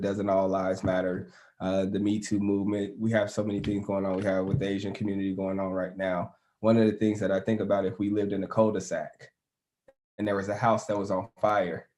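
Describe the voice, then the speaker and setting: A man panelist explaining his point in a measured way, through an extended example. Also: lowish voice, darkish tone. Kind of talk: panel discussion.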